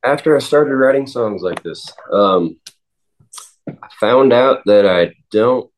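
A man of twenty, a singer-songwriter, answering interview questions in a halting way with many pauses and restarts. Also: medium pitch, animated, poor audio. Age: 20s.

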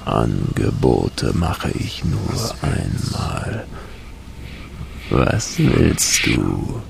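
A super deep crackly thunder god, slow and creepy, storm rumbling behind. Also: male voice, wildly swooping pitch, creaky, full character voice. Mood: menacing. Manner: slow, threatening, whispered menace. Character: menacing male thunder lord, cruel and taunting. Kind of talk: dramatic audiobook reading. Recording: storm sound effects behind the voice. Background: rolling thunder and wind noise.

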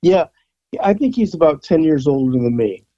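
A man in his sixties talks as a guest in a loose, rambling way, full of fillers and restarts. His voice is mellow and a little higher than usual for a man. The sound is dry and slightly dull, as if from a lo-fi recording. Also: expressive, normal speed, dry recording. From San Francisco Bay Area. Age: 60s. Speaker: guest, rock photographer. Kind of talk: casual interview chat.

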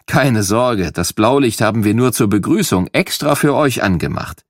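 A man voicing a friendly male firefighter who calmly explains things to children. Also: medium pitch, normal speed, no room sound, studio quality, natural voice. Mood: reassuring. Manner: calm, reassuring, explaining to children.

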